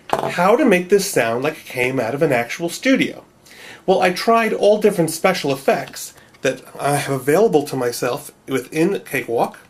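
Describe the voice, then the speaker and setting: Nasal man narrating a wry tutorial. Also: on the high side. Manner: wry, joking. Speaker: video creator. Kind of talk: self-deprecating tutorial narration.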